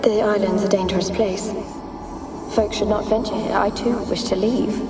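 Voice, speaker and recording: A woman's voice: mid-range voice, steady tone, normal speed, lightly acted. An actress playing a lonely, fearful young noblewoman's wraith in a video game, with plaintive, archaic, dramatic phrasing. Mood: uneasy. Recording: echoing, distant voice under music.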